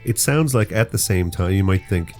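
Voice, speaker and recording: Male podcast host, very low voice, chatty and rambling, faint music underneath. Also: expressive, normal speed, darkish tone. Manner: rambling, jokey, explains slang. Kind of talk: casual chat.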